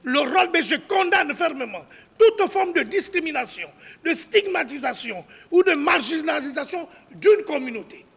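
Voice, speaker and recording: A man's voice: very high-pitched, big pitch swings, moderate pace, creaky, a bit bright. A man solemnly reads out a formal public statement as a civil society spokesman, declaiming and sometimes stumbling over the text. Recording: long reverberation of a hall.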